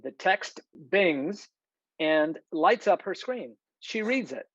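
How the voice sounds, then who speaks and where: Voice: medium pitch, expressive, takes it slowly, a bit bright, dry recording. A narrator reading scripted stage directions for a screenplay table read over a video call. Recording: dull, lo-fi video call audio.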